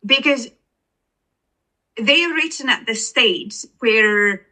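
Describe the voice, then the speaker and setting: A woman's voice: a little high, extremely slow, bright, forceful tone. A woman with an Estonian accent, an author speaking as an interview guest, earnest and searching for words with many restarts. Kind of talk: reflective interview conversation.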